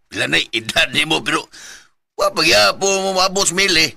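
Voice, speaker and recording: Bright, swooping teasing Cebuano buddy. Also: male voice, on the high side, animated, moderate pace, gravelly, exaggerated voice. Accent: Cebuano Filipino. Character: teasing, pushy male best buddy. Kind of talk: Bisaya radio drama acting.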